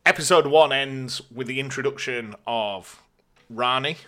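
A man chats casually as co-host of a podcast. His voice is bright and forceful, at a middling pitch for a man. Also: measured pace.

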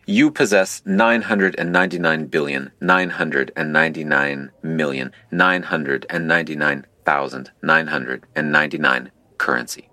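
A man playing a mysterious, impassive game operator who narrates a surreal audio drama in a formal, deadpan manner. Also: rumbling low, normal speed, hardly any pauses, no room sound.

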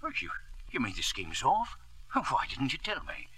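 Jittery, husky radio drama business partner. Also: male voice, mid-range voice, wildly swooping pitch, moderate pace, bright, forceful tone, dry recording, full character voice. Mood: indignant. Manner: agitated, blustering, hesitant stammer. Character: nervous, indignant small-time business partner, easily alarmed. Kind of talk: 1950s radio drama acting.

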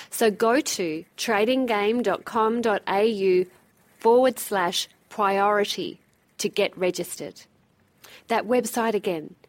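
A woman reading a promotional call to action in an urgent, insistent way. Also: medium pitch. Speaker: podcast promo host.

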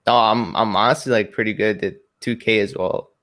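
A man from Iraq who moved to Canada, chatting casually as an interview guest, relaxed and colloquial with many filler phrases. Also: lowish voice, brisk. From Iraq, moved to Canada in 2003. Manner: relaxed, colloquial, frequent 'like' and 'you know'. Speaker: esports pro, interview guest.

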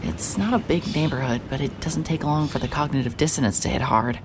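An actress playing a wry, hard-hearted private investigator narrating a noir audio drama in a dry, deliberate way. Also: female voice, extremely low pitch, noisy background. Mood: brooding, observant.

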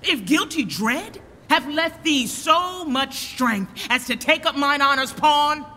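A scornful, wrathful young nobleman of the Shakespearean stage, acted by a woman, declaims accusations at a measured pace. The delivery swoops widely in pitch and rings with force through a long hall reverb. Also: expressive, bright, forceful tone, full character voice. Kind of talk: Shakespearean verse drama acting.

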